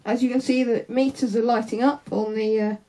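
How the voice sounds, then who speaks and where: A woman's voice: fairly high pitch, fairly even intonation, a little fast, dark tone, low-quality recording. A young woman gives an informal, unscripted hands-on tutorial in a hesitant, offhand way, trailing off into asides. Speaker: sound tech tutorial presenter.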